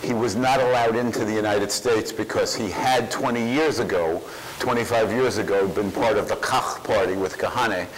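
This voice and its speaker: A man's voice: on the low side, fairly even intonation, normal speed, slightly rough, rough recording. A man speaking at a public event, answering audience questions in an emphatic way, repeating phrases for force.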